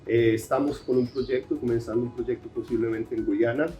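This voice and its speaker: A Colombian man whose Spanish carries Brazilian Portuguese traces. He answers at moderate pitch, often pausing on "eh" and restarting phrases. Soft music plays faintly behind him. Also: fairly even intonation, dull audio. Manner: hesitant, many fillers and restarts. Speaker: researcher guest. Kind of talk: interview answers.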